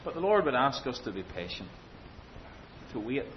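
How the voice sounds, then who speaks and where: A man preaching an expository sermon, speaking with emphatic, deliberate phrasing, rhetorical questions and repetition. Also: sing-song. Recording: dull lo-fi sound, faint rumbling noise.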